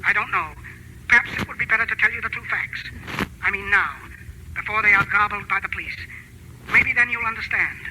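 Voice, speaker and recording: An actor playing a meek, precise, courteous chemist in an old-time radio drama. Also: male voice, sits high, very noisy, natural voice. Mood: apologetic. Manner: formal, polite, deliberate. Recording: old radio recording with music.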